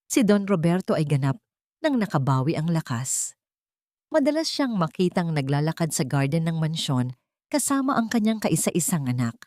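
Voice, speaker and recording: Female narrator for sentimental drama stories; lowish register, measured delivery, dry studio sound. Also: a little mellow, no echo. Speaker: storyteller, narrator. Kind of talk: scripted dramatic story narration in Tagalog.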